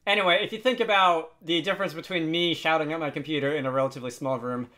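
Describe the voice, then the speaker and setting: Casual, hesitant man giving a software tutorial. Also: on the high side, fairly even intonation, fast. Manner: hesitant, thinking aloud. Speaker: tutorial host.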